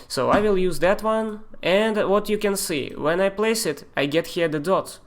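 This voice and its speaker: Man with a Russian accent teaching software. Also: sits high. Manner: halting, with fillers and non-native grammar. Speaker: software tutor. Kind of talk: live-streamed screen tutorial.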